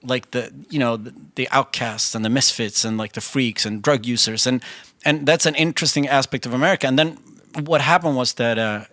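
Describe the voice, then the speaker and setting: A bright, forceful male voice speaking English as a second language, his first being Swedish. He talks loosely and chattily, restarting phrases and acting out what people said. The sound is close and dry, with no room around it. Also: medium pitch, a little fast, no echo. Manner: chatty, restarts often, quotes himself. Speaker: band singer, interview guest. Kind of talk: casual interview conversation. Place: studio.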